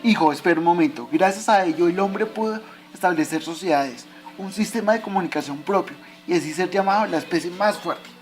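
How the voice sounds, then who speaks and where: A man's voice: high-pitched, brisk, few pauses, faint music behind, lightly acted. A man playing a patient, instructive dead father in an amateur radio drama, explaining things to his son. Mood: patient, solemn.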